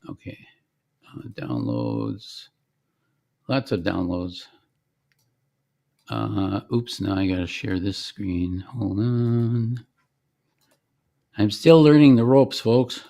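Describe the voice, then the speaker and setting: A man presenting a software demo in an informal, conversational way, as the founder showing his product on screen. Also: lowish voice, expressive, takes it slowly, vocal fry, long pauses. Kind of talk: informal screen-share demonstration.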